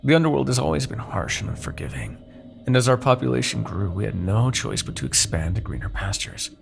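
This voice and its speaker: Man acting as a gravelly, seductive demon king. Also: sing-song, over music, full character voice. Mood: bitter, persuasive. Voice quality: gravelly, creaky low voice. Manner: theatrical, silky, condescending persuasion with dramatic pauses. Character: seductive, manipulative male demon king, haughty and persuasive. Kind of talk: fantasy ASMR roleplay monologue.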